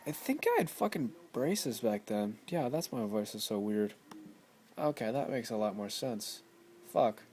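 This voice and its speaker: A man's voice: mid-range voice, expressive, unhurried, a little mellow, slight background noise. A man in his twenties, tired, rambling and swearing constantly in a casual vlog. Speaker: vlogger.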